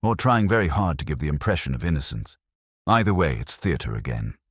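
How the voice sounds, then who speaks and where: A man voicing an earnest, puzzled police sergeant in a mystery story, brisk and questioning. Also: a bass voice, very animated, dry recording, studio quality, heavily acted. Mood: speculative.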